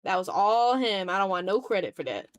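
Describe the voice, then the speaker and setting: A woman's voice: animated, brisk. Tired woman in casual livestream chat. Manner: rambling, low-key, chatting to viewers. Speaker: streamer hosting a live art stream.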